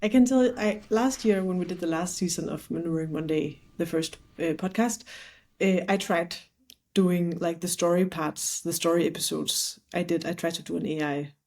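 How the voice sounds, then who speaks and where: Mellow Danish-accented woman host. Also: on the low side, steady tone. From Denmark. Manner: chatty, with quick asides and light laughs. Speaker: podcast host. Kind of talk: casual podcast conversation.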